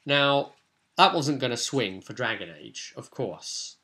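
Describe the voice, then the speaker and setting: A man's voice: medium pitch, animated, takes it slowly, sharp tone. A British man giving casual, unscripted commentary on a tabletop role-playing session as game master, in a rambling, colloquial way.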